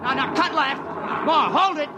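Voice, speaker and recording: High, urgent soldier over loud noise. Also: male voice, moderate pace. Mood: urgent, bossy. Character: harried bossy male soldier shouting loading orders. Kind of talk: 1950s radio drama acting. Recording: noisy outdoor vehicle din, vintage radio.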